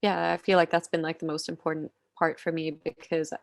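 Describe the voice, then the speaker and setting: A woman in her twenties from Finland, a podcast guest talking casually on a video call, soft-spoken with many "like" fillers and frequent giggles. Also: low voice, level tone, a little fast, creaky, dry recording, clean studio sound. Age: 20s. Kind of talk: casual interview chat.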